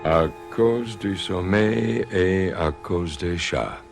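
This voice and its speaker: An actor playing a patient psychiatrist who prompts with brief, measured questions. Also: male voice, low-pitched, gravelly, faint music behind, full character voice. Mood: calm. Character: calm male psychiatrist, patient and probing.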